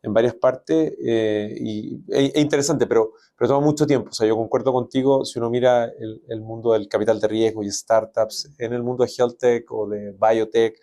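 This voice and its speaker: Male tech expert guest, warm mellow tone, halting, casual delivery. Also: mid-range voice. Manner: hesitant, restarts often, relaxed. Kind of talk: podcast interview.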